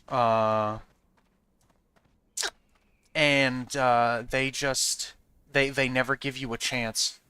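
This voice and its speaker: Rambling, crisp-voiced male streamer. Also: medium pitch, flat delivery, very slow, pauses often, pushed and bright, no room sound. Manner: rambling, repeats "you know what I mean"; frank and profane. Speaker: streamer talking to chat. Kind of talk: casual chat with viewers while playing.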